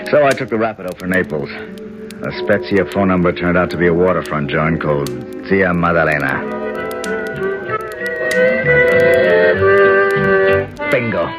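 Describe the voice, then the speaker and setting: A man's voice: low-pitched, a little fast, lightly acted. A man playing a hardboiled American investigator in a radio drama, wry, clipped and blunt, with an American accent. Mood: wry narrating. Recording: music behind the voice.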